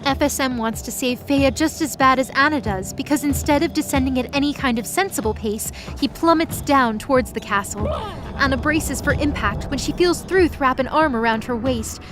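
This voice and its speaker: A woman's voice: sits high, normal speed, over music. A woman narrating a scripted audio drama in the present tense as its storyteller.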